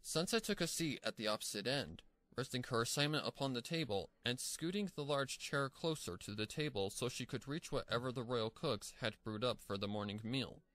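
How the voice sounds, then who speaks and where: A man reading scripted story narration in an expressive storytelling manner. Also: mid-range voice, brisk, hardly any pauses, no room sound.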